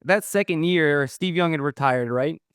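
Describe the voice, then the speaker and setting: A man's voice: fairly high pitch, moderate pace, fairly bright tone, clean tone, no echo, studio quality. A man hosting an interview, asking loose, rambling questions full of fillers. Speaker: podcast host, interviewer.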